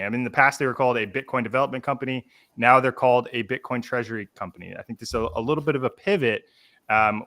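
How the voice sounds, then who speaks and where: A man's voice: mid-range voice, sharp tone, no echo. A man hosting a casual livestream discussion, chatty and loose with many fillers and restarts. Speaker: podcast host.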